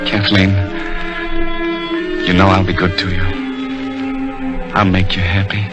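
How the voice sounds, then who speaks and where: An actor playing an earnest, penniless young man in love, halting and fervent, in 1930s radio melodrama style. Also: low-pitched, monotone. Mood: tender, devoted. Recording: dull 1930s radio broadcast, music behind.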